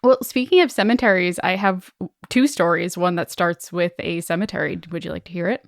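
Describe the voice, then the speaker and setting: A woman's voice: fairly low pitch, fairly quick, creaky, no room sound, clean studio sound. A woman co-hosting a podcast, chatting casually and reading emails aloud with playful enthusiasm. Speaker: podcast host.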